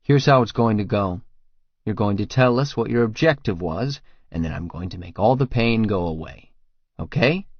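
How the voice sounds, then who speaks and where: A man's voice: fairly low pitch, expressive, moderate pace, no echo, muffled recording. A man voicing a tough, sardonic fighter who questions a captive in an audiobook, wry and commanding. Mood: menacing. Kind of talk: audiobook character dialogue.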